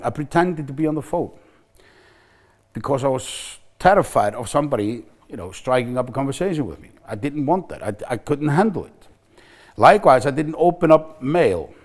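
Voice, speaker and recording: A middle-aged man from Iceland with an Icelandic accent, speaking candidly and reflectively to the camera for a recovery center, often restarting his sentences. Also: medium pitch. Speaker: recovery center staff member. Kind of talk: personal talk to camera.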